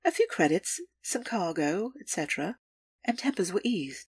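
A woman playing a calculating, deferential underworld information broker in an audio drama, with formal, measured, precise delivery. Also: on the low side, sing-song, darkish tone, slightly rough, dry recording, heavily acted. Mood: businesslike.

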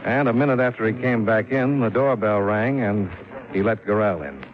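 A hard-boiled private eye played by a male actor narrates in a low, dry, clipped voice. He sounds shrewd and wry, in 1950s radio drama style, on a muffled old recording with music behind. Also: moderate pace, lightly acted. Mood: wry, wary.

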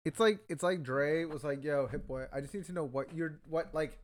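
A man's voice: fairly high pitch, moderate pace. A man co-hosting a podcast, chatting casually about an album, rambling with lots of fillers and swearing. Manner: rambling, profane, many fillers. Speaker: podcast co-host. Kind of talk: casual music review chat.

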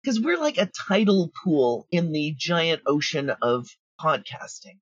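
A woman's voice: sits low, big pitch swings, measured pace, breathy edge. A woman speaking casually as an interview guest, frank, practical and emphatic. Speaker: guest, audio drama creator. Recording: roomy, dull, lo-fi audio.

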